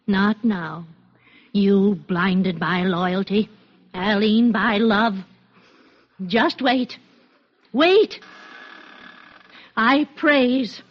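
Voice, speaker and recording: A woman's voice: mid-range voice, extremely slow, long pauses. An actress playing a self-righteous, scolding older mother in a radio drama, preaching in judgment. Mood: bitter, fervent. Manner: preachy, reciting scripture in judgment. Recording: dull band-limited radio drama recording.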